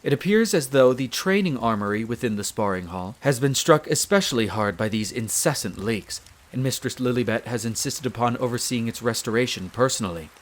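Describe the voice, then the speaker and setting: A man's voice: medium pitch, animated, fairly quick, hardly any pauses, natural voice. Man playing a formal, deferential castle butler. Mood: courteous, concerned. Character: courteous, devoted male head servant of a vampire castle. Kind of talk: scripted roleplay audio drama, addressing the listener.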